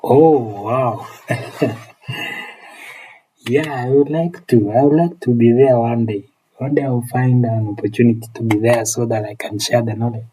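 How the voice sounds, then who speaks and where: A man's voice: mid-range voice, fairly even intonation, a little slow, mellow, a little hiss or hum, low-quality recording. A man with a Kenyan accent chatting casually on a livestream as he teaches repair and replies to viewers, often repeating his phrases. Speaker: phone repair technician and live streamer.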